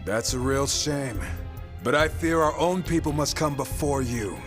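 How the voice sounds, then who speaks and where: An actor playing a haughty, cold zealot in a video game cutscene, speaking in pompous, archaic, contemptuous phrases. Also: male voice, medium pitch, measured pace, close to an everyday voice. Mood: scornful. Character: haughty, cold male zealot who despises aliens. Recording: music behind the voice. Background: game music.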